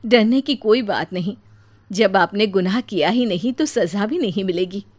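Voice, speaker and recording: A woman's voice: medium pitch, expressive, moderate pace, a little mellow, natural voice. A woman playing a caring, resolute elder sister-in-law who speaks reassuringly in a family story.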